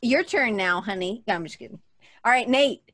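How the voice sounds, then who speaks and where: A woman's voice: mid-range voice, expressive, fairly quick, very noisy. A woman hosting a group video call, playful and joking as she hands the turn to each guest. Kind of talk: casual chat.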